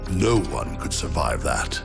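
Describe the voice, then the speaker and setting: An actor playing a skeptical, worried veteran robot advisor in a video game, with a gravelly, insistent delivery. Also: male voice, rumbling low, sing-song, a little slow, over music, full character voice. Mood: worried, doubting. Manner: doubting, insistent. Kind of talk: video game cutscene acting.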